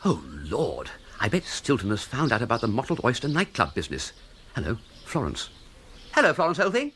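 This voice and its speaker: An amiable young English gentleman idler in a radio comedy. The upper-class voice leaps up and down extravagantly, breezy and chummy with a slight dither. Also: medium pitch, wildly swooping pitch, brisk, slight background noise. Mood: agreeable, puzzled. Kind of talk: radio comedy drama acting.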